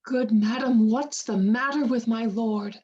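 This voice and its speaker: A staunch, frank lady's maid in Shakespearean radio drama, played by a woman. Her voice is fairly high, and she delivers verse slowly, with firm and protective emphasis. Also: darkish tone, husky, lo-fi sound. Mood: concerned. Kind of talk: Shakespearean radio play acting.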